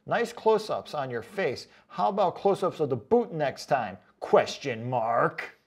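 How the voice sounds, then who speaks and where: A man hosting a casual vlog, reading out comments in a chatty, joking way with sarcastic asides and drawn-out comic emphasis. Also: a little high, big pitch swings, slightly rough. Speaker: online boot reviewer and video host.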